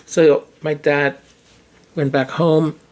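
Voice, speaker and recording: A hoarse-voiced podcast host holds forth in a loose, conversational monologue. The delivery wanders, with frequent restarts and doubled words as thoughts take shape. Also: mid-range voice, flat delivery, slow pace, darkish tone. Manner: chatty, restarts and repeats words mid-thought. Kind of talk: casual explanatory monologue.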